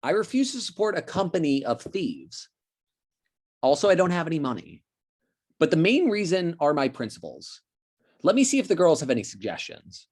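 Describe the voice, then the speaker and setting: A man's voice: fairly high pitch, wildly swooping pitch, moderate pace. A man playing a defensive, casual slacker of a brother in an amateur table read over a video call. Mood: stubborn.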